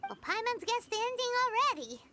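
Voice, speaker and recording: A woman's voice: very high voice, sing-song, a little fast, noisy background. A woman voicing a tiny, chirpy, childlike fairy companion in an anime-style game, perky and cartoonish. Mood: matter-of-fact. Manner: perky, cartoonish, refers to self by name. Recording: game music clearly behind the voice.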